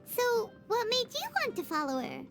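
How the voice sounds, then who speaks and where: A woman's voice: very high-pitched, very animated, moderate pace, music in the background, full character voice. A woman voicing a tiny floating fairy-like girl companion who is chatty and childlike, whining and referring to herself by name in a game cutscene. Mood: curious. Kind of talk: video game cutscene voice acting.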